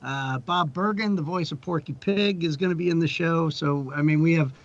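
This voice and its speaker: A man's voice: fairly high pitch, moderate pace, muffled recording. A man chatting casually as a producer being interviewed on a podcast. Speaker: interview guest, audio drama producer.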